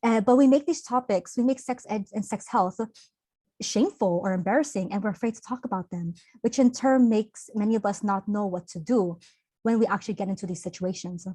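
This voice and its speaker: A young woman speaks earnestly in an online workshop, her tone open and conversational with easy fillers. Her voice sits at an ordinary middle pitch. The sound is dry and close, like a video call. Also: fairly quick, warm tone, no room sound. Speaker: workshop presenter.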